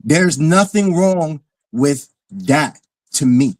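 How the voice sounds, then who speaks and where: A man's voice: a little high, lively intonation, takes it slowly, no echo. Blunt New York man, close dry sound. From South Bronx, New York. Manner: blunt, emphatic, repeats phrases. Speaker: caller, military veteran. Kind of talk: casual heated discussion.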